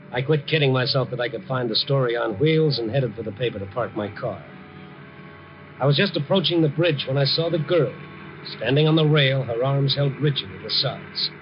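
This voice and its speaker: A man's voice: mid-range voice, background noise, close to an everyday voice. An American man acting in a 1950s radio drama as a tough, wry, kindly newspaperman who narrates his night story in an understated way. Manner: wry, hard-boiled, understated. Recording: dull, band-limited 1950 radio recording with music.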